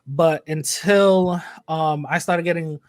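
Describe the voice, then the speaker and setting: A man's voice: fairly high pitch, steady tone, slow pace, no room sound. A young man, chatting casually as a podcast guest, joking and rambling with many fillers. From New York City, USA. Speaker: podcast host, interviewee. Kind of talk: casual interview chat.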